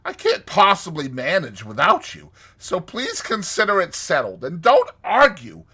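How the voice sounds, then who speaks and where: Storybook toad gentleman: boastful, pleading, very high-pitched, forceful. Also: wildly swooping pitch, a little slow, husky, heavily acted. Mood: imploring. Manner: exclamatory, wheedling, showy. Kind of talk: dramatized storybook reading.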